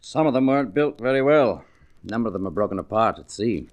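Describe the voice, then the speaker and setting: Man as deep, quick-talking guarded businessman. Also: lively intonation, no room sound, lightly acted. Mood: curt. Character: brusque, guarded businessman in a mystery. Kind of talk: 1940s radio acting. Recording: dull old radio recording.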